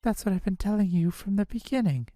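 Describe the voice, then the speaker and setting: A woman's voice: medium pitch, lively intonation, fast talker, warm tone, a little hiss or hum, natural voice. A woman voicing a stiff, resigned chief prosecutor in a courtroom video game, speaking formally. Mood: stiff, firm. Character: stiff, resigned adult female chief prosecutor hiding anguish. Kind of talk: amateur video game voice acting.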